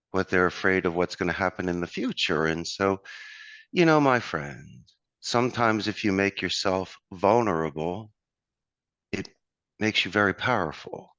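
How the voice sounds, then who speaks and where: A man with a Philadelphia accent speaks in a very low voice, expansive and motivational. His sound is dry and a bit dull, like a remote call recording. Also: fairly bright tone, dry recording. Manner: expansive, motivational, addresses host by name. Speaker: call center owner, guest. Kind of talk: conversational interview.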